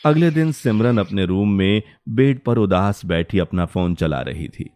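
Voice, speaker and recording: A man's voice: lowish voice, expressive, measured pace, darkish tone, no echo. A man narrating a scripted Hindi cartoon story as its storyteller.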